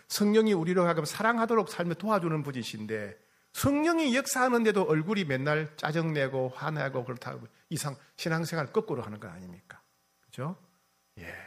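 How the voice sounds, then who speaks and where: A male pastor preaching a sermon, asking the congregation questions, teasing them and repeating key phrases. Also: mid-range voice. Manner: repeats key phrases, swings from loud to soft.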